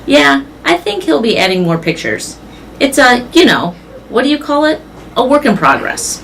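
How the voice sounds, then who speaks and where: A man's voice: extremely high pitch, big pitch swings, moderate pace, creaky, fairly bright tone, slight background noise, voice pushed up, exaggerated voice. A reader voicing a brash, greedy male mall owner with an emphatic, salesman-like manner. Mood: eager salesman.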